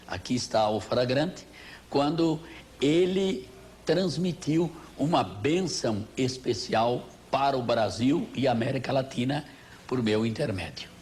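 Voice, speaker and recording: An older man with a Brazilian accent, a veteran TV reporter, reminiscing in a formal, grandiloquent, measured style. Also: medium pitch, expressive, darkish tone. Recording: faint noise, old TV broadcast tape.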